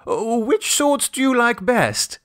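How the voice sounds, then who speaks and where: A man's voice: very high-pitched, huge pitch swings, takes it slowly, a bit bright, no echo, studio quality, pitched above the performer's own voice, heavily acted. A man voicing an anxious, over-eager father in an audiobook story, trying to sound careless as he courts children's favour. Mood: anxious eagerness. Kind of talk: audiobook character dialogue.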